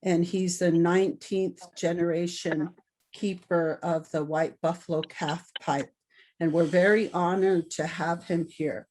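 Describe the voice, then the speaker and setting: Woman host introducing guests, mellow and low, unhurried, soft-spoken. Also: fairly even intonation. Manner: soft-spoken, gently halting with ums. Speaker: event host. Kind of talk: welcoming introductions.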